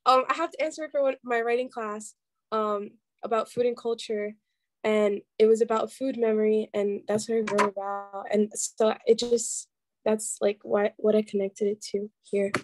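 A woman's voice: mid-range voice, fairly even intonation, moderate pace. A young woman in college telling a rambling story on a video call, with many "like"s. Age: young adult. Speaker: college student participant. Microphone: headphones and laptop webcam on a video call.

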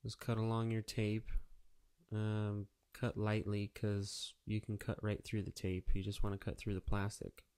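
A man's voice: fairly low pitch, completely flat delivery, a little slow, darkish tone, smooth. A man narrating a casual step-by-step how-to tutorial in a plain, practical, matter-of-fact way. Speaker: auto painter giving a how-to tutorial.

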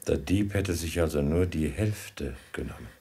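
Stern suspicious ruler, extremely deep, husky, grave, probing, vintage radio drama. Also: male voice, normal speed, dark tone. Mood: grave suspicion. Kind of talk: 1950s German radio play acting.

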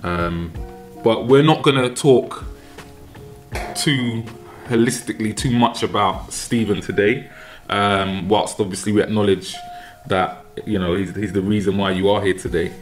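Halting low-voiced male podcast host. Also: faint music behind. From London. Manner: halting, many ums and repeated words. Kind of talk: casual interview. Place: home kitchen. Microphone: desk mic on a boom arm.

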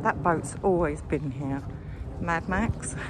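Middle-aged British woman, chatty walking-tour host addressing viewers, recorded outdoors with clear ambient noise. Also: low-pitched, slow. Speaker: vlog host. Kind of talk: casual walking-tour chat. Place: outdoors, walking along a marina promenade. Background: outdoor seafront ambience.

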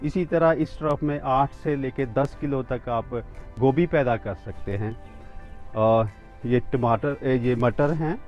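A man's voice: medium pitch, slow, dull audio, faint music behind. A man in his fifties giving a walking tour of a rooftop vegetable garden outdoors, pointing out each plant plainly and steadily. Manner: pointing things out plainly and steadily, with small restarts. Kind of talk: walking demonstration, explaining to camera.

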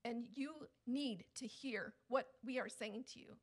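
A woman's voice: a little high, unhurried. A woman reading a prepared statement at a public meeting, speaking in an insistent, admonishing way. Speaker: resident speaking at public comment. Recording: public meeting room microphone.

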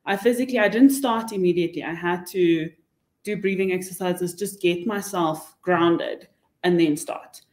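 A woman's voice: lowish voice, normal speed, darkish tone. A young woman with a South African accent giving candid, emphatic advice as a panelist. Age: young adult. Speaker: webinar panelist. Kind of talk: panel discussion, casual advice. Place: indoor meeting room. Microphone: table microphone. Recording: roomy, echoey meeting room.

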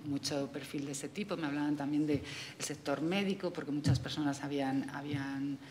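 A middle-aged woman speaking on a conference panel about aid work, hesitant and pausing often. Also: deep voice, steady tone, darkish tone. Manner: hesitant, many fillers and restarts. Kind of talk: panel discussion. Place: conference hall, indoors. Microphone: desk microphone. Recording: reverberant hall, faint room noise.

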